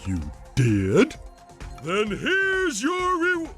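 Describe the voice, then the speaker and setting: A man's voice: very high-pitched, wildly swooping pitch, very slow, pushed and bright, faint music behind, exaggerated voice. A man voicing a dim-witted, self-important male starfish in a cartoon game, dopey and pompous. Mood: confused.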